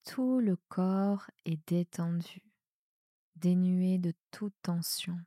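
A woman with a soft voice guiding a relaxation meditation in a calm, soothing manner with measured pauses. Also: fairly low pitch, no room sound, studio quality. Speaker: yoga nidra guide. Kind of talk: guided meditation, relaxation instruction.

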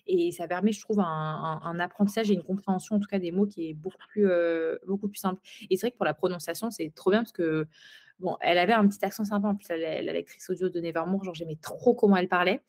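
A woman's voice: on the low side, steady tone, quick pace, darkish tone. A woman co-hosting a casual book podcast, recorded remotely, talking in a reflective, hesitant way with many fillers. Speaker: podcast co-host. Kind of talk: casual chat.

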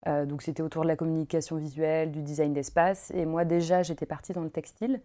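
A woman's voice: deep voice, fairly even intonation, fast, dark tone. A woman in her thirties, from Paris, chatting at home about her textile work with many fillers and easy laughs. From Paris, France. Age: 30s. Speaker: guest, costume maker and embroiderer. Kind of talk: casual interview chat.

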